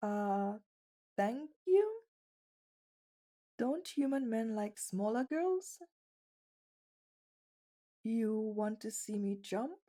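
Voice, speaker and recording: Woman acting a shy, unhurried bunny girl, mellow voice. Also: a little high, big pitch swings, full character voice. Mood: bashful, hesitant. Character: shy, skittish stray bunny girl, part human. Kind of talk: ASMR roleplay acting.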